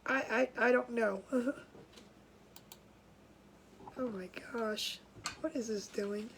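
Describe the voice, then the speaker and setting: A woman's voice: a little high, slow, creaky, noisy background. A woman hosting a casual craft livestream from home, sounding nasal and congested, chatty and scattered, with frequent laughs and restarts.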